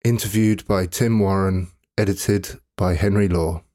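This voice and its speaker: A man's voice: sits low, steady tone, unhurried, dark tone. A man reading episode credits as a scripted podcast introduction. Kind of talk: scripted narration.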